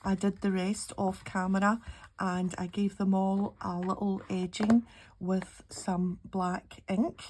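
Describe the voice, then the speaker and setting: A middle-aged Scottish woman, slightly croaky with a cold, chatting and thinking aloud as she narrates a casual craft tutorial. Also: medium pitch, flat delivery, slow pace, darkish tone. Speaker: craft channel host. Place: indoor craft table. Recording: clearly audible background noise, handling clicks.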